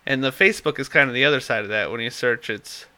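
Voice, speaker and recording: A young man co-hosting a loose tech chat, his voice bright and forward even through a stuffed-up nose. He talks at an ordinary pitch and wanders through his points, often losing his place mid-thought. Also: quick pace. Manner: rambling, loses his train of thought. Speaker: podcast co-host.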